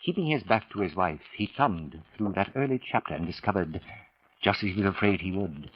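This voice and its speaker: A man narrating 1940s radio suspense in a dramatic scripted style, with deliberate pauses and ominous build-up. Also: sits low, lively intonation, slightly rough. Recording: dull vintage radio recording with music.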